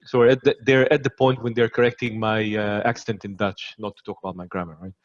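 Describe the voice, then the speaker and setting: Man, 40s, from Israel, interview guest, lowish voice, relaxed halting talk, dull lo-fi remote audio. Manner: relaxed, chatty, hesitant. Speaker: guest, security executive. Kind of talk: casual interview.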